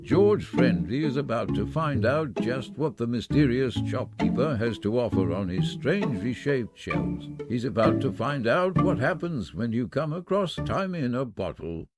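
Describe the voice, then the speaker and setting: Loud music surrounds a portentous storyteller voiced by a man. His mid-pitched voice moves in lively, dramatic swings as he narrates an eerie audio drama in measured, scripted phrases. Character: storyteller narrator introducing an eerie anthology tale.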